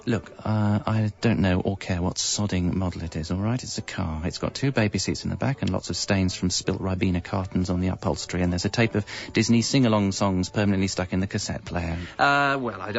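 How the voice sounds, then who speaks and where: A man's voice: on the low side, steady tone, brisk, creaky, hardly any pauses, lo-fi sound. A male actor with an English accent playing a discontented, evasive young father, narrating a scripted radio comedy in a wry, confessional way. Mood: flustered, exasperated. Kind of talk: scripted first-person comic narration.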